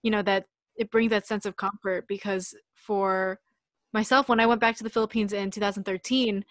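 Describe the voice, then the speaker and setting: A woman from the United States co-hosts a relaxed podcast conversation. She talks in a reflective, meandering way, full of little fillers. Her voice sits at a mid pitch and sounds dry and close. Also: normal speed, no room sound. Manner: reflective, loose, many "like" fillers. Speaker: podcast co-host. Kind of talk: casual podcast chat.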